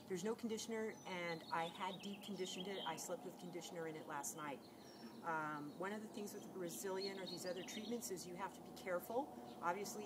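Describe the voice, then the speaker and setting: Nasal-sounding woman with a cold, outdoors. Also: on the low side. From California. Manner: chatty, rambling with many fillers and restarts. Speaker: beauty vlogger. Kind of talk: casual talk to camera. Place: outdoors against a sunlit wall. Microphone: camera on a tripod. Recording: noisy outdoor camera audio.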